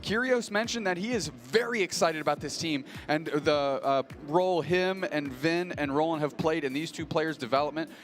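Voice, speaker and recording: A man's voice: on the high side, normal speed, hardly any pauses, faint music behind. A man doing enthusiastic color commentary for an esports tournament, talking through the storylines. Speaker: esports caster.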